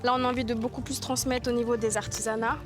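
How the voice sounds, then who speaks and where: A woman's voice: a little high, little pitch movement, quick pace. A woman from Guiana, answering an interview earnestly and repeating words for emphasis. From French Guiana. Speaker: village activist interviewee. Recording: music behind the voice. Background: background music.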